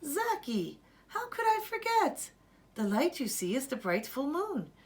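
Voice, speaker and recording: A woman's voice: high-pitched, wildly swooping pitch, unhurried, voice pushed up, heavily acted. Woman reading as soothing, lilting storybook mother. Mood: amused, reassuring. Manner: soothing, affectionate. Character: gentle, reassuring young mother of small children. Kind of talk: children's storybook read-aloud.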